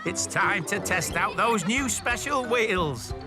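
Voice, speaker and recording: A man's voice: high voice, expressive, slow, over loud music, voice pushed up, heavily acted. A man voicing a cocky, friendly male bus with a breezy, boastful manner in a children's storybook. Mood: challenging.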